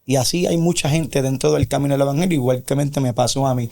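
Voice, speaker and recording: Gravelly warm guy guest telling his church testimony, earnest, kinda preachy. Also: mid-range voice, quick pace. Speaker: interview guest. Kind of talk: personal religious testimony.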